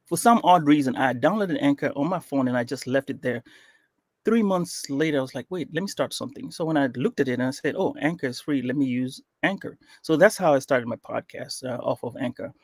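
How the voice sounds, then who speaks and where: A man from Kenya, with Swahili as his first language, chats as a podcast guest in a loose, rambling way. He keeps stopping to reach for words and fills the gaps with "uh" and "um". His voice is mid-pitched and sits close and dry on the mic. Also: a little fast, darkish tone, no room sound. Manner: rambling, with frequent fillers and restarts. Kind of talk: casual interview chat.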